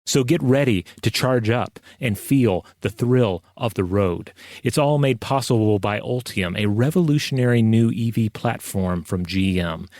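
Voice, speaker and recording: A man with a fairly low voice hosts a podcast in an easy conversational style. He is close on the mic, and the sound is very dry with no room around it. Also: measured pace, a little mellow, no room sound. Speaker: podcast co-host. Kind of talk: casual chat, scripted ad reads and listener mail.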